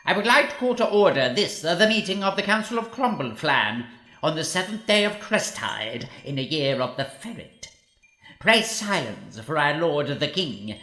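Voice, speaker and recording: An actor playing a pompous, obsequious royal advisor in a comedy audio drama, speaking in florid, ceremonious phrases. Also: male voice, a little high, big pitch swings, normal speed, bright, forceful tone, reverberant, soft background music. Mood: grandly ceremonious.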